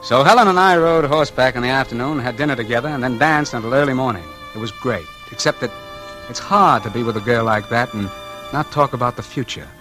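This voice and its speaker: Casting: male actor as weary man on the run, 1940s radio noir lead and narrator, wry, terse, mid-pitched, music underneath. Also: fast talker, pushed and bright, close to an everyday voice, poor audio. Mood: brooding narration. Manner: wry, terse, hard-boiled. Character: weary, doomed man on the run, narrating his own story. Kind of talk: 1940s radio noir acting, first-person narration and dialogue.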